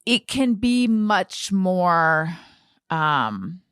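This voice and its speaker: American woman podcaster, low-pitched and thoughtful. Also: sing-song, a bit bright, clean tone, no echo, studio quality. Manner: halting, self-correcting, thinking aloud. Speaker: podcast host and interviewer. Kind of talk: casual conversational interview chat.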